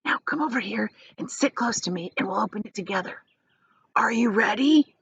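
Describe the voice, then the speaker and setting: A woman voicing an excitable, conspiratorial old grandfather in a storybook, with breathy whispering and eager, nervous urging. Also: mid-range voice, moderate pace, no room sound, dull audio, full character voice.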